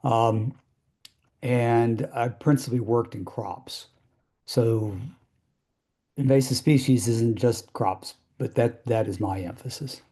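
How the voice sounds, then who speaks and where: A man in his fifties, a crop scientist, introducing himself on a panel in an easygoing, halting way. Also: mid-range voice, steady tone, mellow. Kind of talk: panel guest on video call.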